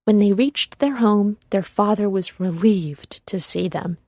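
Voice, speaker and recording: Female narrator for kids' read-along story: measured, slow delivery, mellow tone. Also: medium pitch, no echo, clean studio sound. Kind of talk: scripted children's storybook narration.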